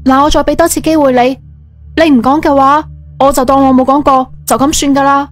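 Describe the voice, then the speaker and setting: A bossy but soft-hearted lady executive, played by a woman, speaks Cantonese in a high voice. It is audio drama acting, with music underneath. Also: flat delivery, a little fast, close to an everyday voice. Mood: coy, insistent. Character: bossy yet soft-hearted female company boss. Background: background music.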